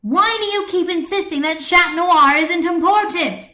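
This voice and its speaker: A woman voicing a fiery, stubborn schoolgirl in a fan fiction story, forceful and indignant as she argues. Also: extremely high pitch, unhurried, pitched above the performer's own voice, exaggerated voice. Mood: furious ranting. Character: fiery, stubborn schoolgirl passionately defending her hero. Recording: echoey room on computer microphone.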